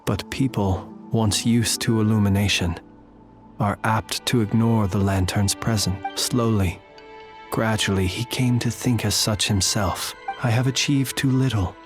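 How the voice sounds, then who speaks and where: Man acting a low, courtly, melancholy gentleman. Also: steady tone, close to an everyday voice. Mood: solemn, reverent. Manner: measured, formal, solemn. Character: courtly, melancholy gentleman lantern keeper, calm and wry. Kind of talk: scripted game drama, eulogy-like. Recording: music behind the voice.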